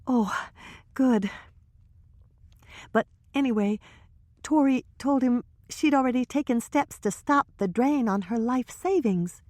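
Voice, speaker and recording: A woman doing a high, nervous gossiping girl. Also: slow, long pauses, darkish tone, dry recording. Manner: breathless, hesitant gossip. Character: young woman, nervous, gossipy and easily flustered.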